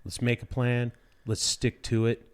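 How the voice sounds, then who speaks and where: An American man chatting casually as a podcast guest, joking in a deadpan, blunt and slangy way. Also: mid-range voice, unhurried, clear, resonant tone. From United States. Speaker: podcast guest, dirt bike rider. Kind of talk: casual chat.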